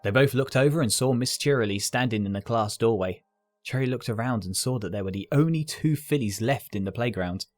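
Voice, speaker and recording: A man's voice: medium pitch, a little fast. A man reading scripted story narration as the narrator. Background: music.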